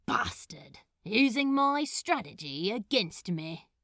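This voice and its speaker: A woman's voice: mid-range voice, sing-song, slow, a bit bright, dry recording, clean studio sound. A woman voicing a teasing, grumbling male demon with a casual, sly drawl, in a fan fiction reading. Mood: mock-indignant.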